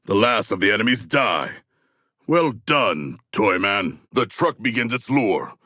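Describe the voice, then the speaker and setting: Video game shark-man: formal and bloodthirsty yet childlike, forceful raspy delivery, stilted grand diction. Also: on the low side, lively intonation, measured pace, dry recording, clean studio sound, exaggerated voice. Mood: composed, commanding. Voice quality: gravelly.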